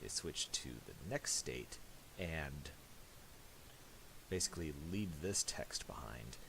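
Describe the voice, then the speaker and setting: A man presenting a casual software tutorial in a halting, mumbled way, on a hissy home recording with clicks. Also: a bass voice, expressive, vocal fry, poor audio.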